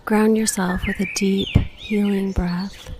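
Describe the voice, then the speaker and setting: A woman guiding a meditation in a gentle, unhurried, breathy voice that sometimes nears a whisper, giving slow reflective prompts. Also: mid-range voice, level tone, background noise. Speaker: guided meditation host.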